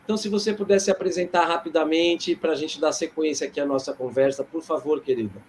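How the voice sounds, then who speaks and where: A man with a Brazilian accent moderating an informal online panel, explaining things in a measured way with thoughtful pauses. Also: a little high, slight background noise. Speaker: host and moderator.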